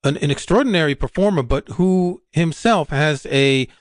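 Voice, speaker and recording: A man's voice: on the high side, animated, moderate pace, dry recording, clean studio sound. A man hosting a podcast, asking curious, conversational questions and sharing his own experiences. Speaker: podcast host. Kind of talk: interview questions.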